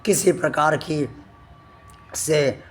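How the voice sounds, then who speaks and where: A man hosting a devotional channel, speaking to his viewers slowly and earnestly, his voice still weak from a sore throat. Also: on the high side, soft background music. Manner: slow, earnest and devout, addressing viewers formally. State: recovering from a sore throat, voice not at full strength.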